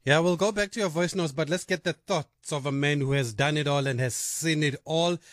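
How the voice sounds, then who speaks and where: Lively radio sports host. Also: male voice, on the high side, brisk, slight background noise. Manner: lively, laughs easily. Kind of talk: radio interview.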